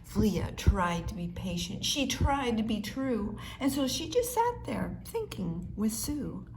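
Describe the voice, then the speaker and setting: A woman reading a rhyming children's story aloud with measured, expressive storytelling. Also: a little high, slight background noise, low-quality recording. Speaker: storybook reader.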